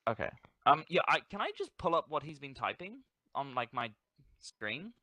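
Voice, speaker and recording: A man's voice: fairly high pitch, sing-song, normal speed, pushed and bright, no echo. A man playing a tabletop game over a call, eager and thinking aloud about his character's options. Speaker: player.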